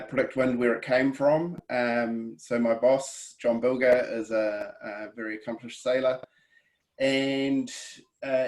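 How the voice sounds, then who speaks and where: A man with a New Zealand accent giving a casual webinar presentation over a video call, often pausing with ums and restarting his sentences. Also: medium pitch, rough recording. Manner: hesitant, many ums and restarts. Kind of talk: relaxed presentation.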